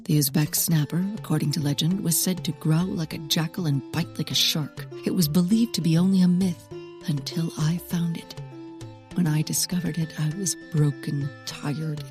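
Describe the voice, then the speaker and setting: Low-voiced, intense botanist-adventurer, confessional. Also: female voice. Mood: grimly determined. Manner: theatrical, confessional, dramatic pauses and hushed emphasis. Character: intense female botanist-adventurer confessing a forbidden love of plants. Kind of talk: comedic audio drama monologue. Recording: prominent music under the voice.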